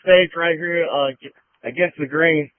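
A man's voice: on the high side, fairly even intonation, measured pace, no room sound. A male police officer giving urgent, terse, repeated commands over a police radio. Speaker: police officer at an emergency scene. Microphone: handheld police radio. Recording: tinny band-limited police radio.

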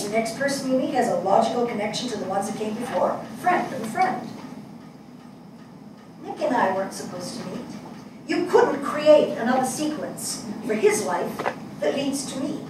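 A woman in her sixties playing an earnest, shaken New Yorker in a deliberate, searching dramatic monologue read on stage. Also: high-pitched, animated. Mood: reflective, somber. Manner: deliberate, searching, with rhetorical questions and dramatic pauses. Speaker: stage actor in a dramatic reading. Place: small theatre stage before an audience. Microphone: far camera. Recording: echoey theatre, distant mic, noisy.

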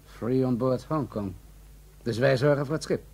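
An actor playing a composed, authoritative drug buyer, clipped and businesslike, in a Dutch radio play. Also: male voice, medium pitch, moderate pace, vocal fry, darkish tone. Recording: noisy radio drama recording.

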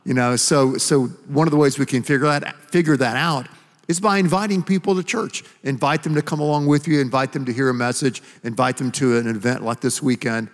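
A man's voice: medium pitch, fairly even intonation, brisk. A middle-aged man, a pastor and author, explaining earnestly in a stage sermon dialogue on a church stage, using a handheld mic. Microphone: handheld microphone. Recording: long hall reverb.

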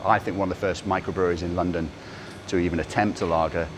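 A middle-aged brewery founder explaining his beers on a tour, quick and rambling with restarts, recorded on a brewery floor. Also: low-pitched. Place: large brewery floor among steel tanks. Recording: steady machinery hum behind.

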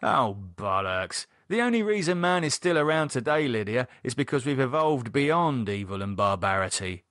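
A man's voice: medium pitch, sing-song, normal speed, a bit bright, no room sound, clean studio sound. A man voicing a plain-spoken, uneasy British man in an audiobook, blunt but hesitantly polite. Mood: fed up. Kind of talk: audiobook character voice.

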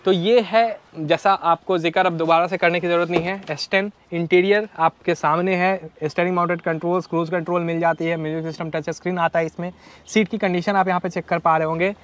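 High-voiced young man showing cars. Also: steady tone, fairly quick, fairly bright tone, a little hiss or hum. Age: young adult. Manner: chatty, mixes English phrases, addresses viewers as brothers. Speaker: car vlogger host. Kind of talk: casual walkaround car showcase. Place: outdoor used-car lot. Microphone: clip-on lapel mic.

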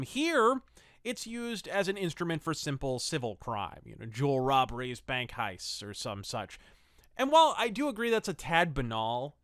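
A male podcast host giving wordy, wry review commentary. His voice sits fairly high and moves around theatrically, in a dry close recording. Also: a bit bright, no echo. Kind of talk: semi-scripted review commentary.

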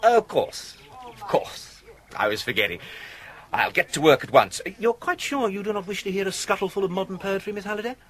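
An actor playing an urbane, unflappable young English gentleman in a radio comedy, speaking in a florid, mock-formal manner. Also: sits high, lively intonation, a little fast. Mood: brisk.

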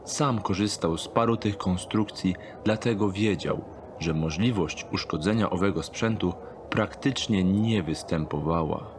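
A man narrates a dark thriller audio drama from a script. His voice sits on the low side. There is light background noise and ambience beneath the reading. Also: a little slow.

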